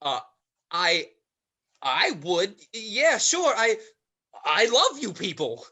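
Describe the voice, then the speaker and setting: A man's voice: sits high, huge pitch swings, takes it slowly, bright, forceful tone, husky, heavily acted. A man playing a sly, cowardly weasel villain in a play reading, stammering and mock-formal. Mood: nervous, stammering. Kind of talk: dramatized play script reading.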